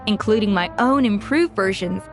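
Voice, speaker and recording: A woman's voice: medium pitch, natural voice. Woman playing a poised, exacting head chef. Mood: matter-of-fact. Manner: poised, measured, authoritative. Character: exacting, self-assured young female master chef, cool and proud. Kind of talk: video game voice acting. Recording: music behind.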